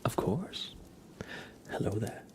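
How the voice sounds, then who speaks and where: A man hosting live ASMR, chatting softly and playfully in a breathy, often whispered voice. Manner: playful, unhurried, talks to his cat. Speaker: livestream ASMR host.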